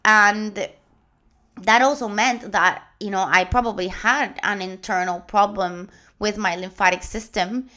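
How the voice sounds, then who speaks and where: Earnest Puerto Rican woman, smooth voice. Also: mid-range voice, bright, forceful tone. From Puerto Rico. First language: Spanish. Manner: earnest, frequent restarts and pauses. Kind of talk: personal story told to followers.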